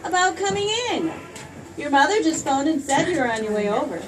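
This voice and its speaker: High-voiced, spirited young sweetheart. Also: female voice, big pitch swings, brisk, noisy background. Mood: teasing. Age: young adult. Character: spirited, teasing young small-town sweetheart. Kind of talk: old-time radio play acting. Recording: distant stage mic.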